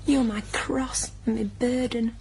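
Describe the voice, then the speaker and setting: Desperate young Northern English mother, high-voiced. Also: fairly even intonation, measured pace, gravelly, background noise. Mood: hushed despair. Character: poorhouse ghost, desperate young unwed mother, defiant then despairing. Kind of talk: radio drama acting.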